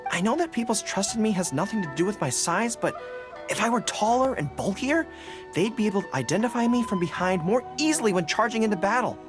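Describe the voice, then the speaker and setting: A woman voicing a youthful, earnest and modest dog-eared general in a video game, expressive and a little hesitant, with music behind. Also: mid-range voice, brisk, close to an everyday voice. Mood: wistful, earnest. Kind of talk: scripted game dialogue. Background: background music.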